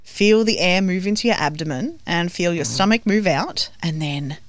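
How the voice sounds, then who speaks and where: Playful woman podcast co-host, low voice. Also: expressive, normal speed, no room sound. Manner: playful, teasing, explains with mock authority. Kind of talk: scripted podcast chat with banter. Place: recording studio.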